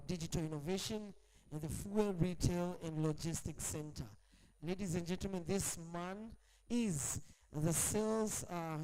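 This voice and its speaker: A man's voice: high-pitched, dark tone, smooth, poor audio. A man emceeing a conference panel, upbeat and jokey, calling for applause. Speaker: event emcee. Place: large exhibition hall.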